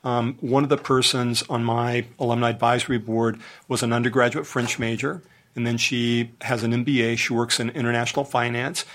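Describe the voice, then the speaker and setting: Monotone, matter-of-fact man giving meeting remarks. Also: medium pitch, a little fast.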